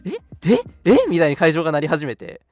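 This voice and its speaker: A young man co-hosting a radio show, casually recounting things in an animated way and acting out reactions. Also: on the high side, fairly quick, dry recording, soft background music. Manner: animated, acts out reactions while telling stories. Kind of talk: casual chat, recounting an outing.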